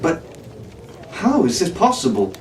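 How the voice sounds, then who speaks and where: Man playing a grim, skeptical young gentleman sailor. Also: a little high, wildly swooping pitch, a little slow, pitched above the performer's own voice. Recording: echoey room, distant mic, faint hiss.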